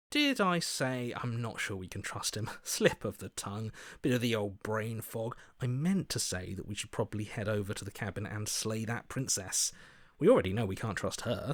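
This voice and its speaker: A slippery opportunist for a video game. His pitch climbs and swoops as he flatters and wheedles, smooth-talking and two-faced, in a very dry close recording. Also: no room sound. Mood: sly, covering up. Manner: glib, wheedling, flattering, backpedalling. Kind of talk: video game character voice acting.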